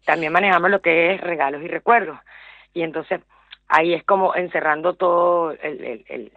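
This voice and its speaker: A woman's voice: fairly low pitch, normal speed, dry recording. A middle-aged woman with a Venezuelan accent, a radio interview guest who talks hesitantly with many fillers and repeated words. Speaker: guest, small business owner. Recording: dry, tinny phone line.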